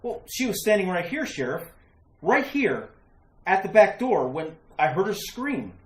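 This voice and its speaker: A woman's voice: mid-range voice, very animated, measured pace, a little mellow. A woman playing an anxious, hesitant husband searching for his missing wife in a retro radio mystery. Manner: hesitant, stammering, urgent. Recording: roomy sound with faint noise.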